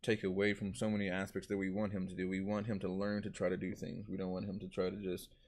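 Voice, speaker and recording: Very deep, flat-voiced man. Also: quick pace, vocal fry. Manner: earnest, many fillers and "you know what I mean". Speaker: guest. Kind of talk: casual live talk.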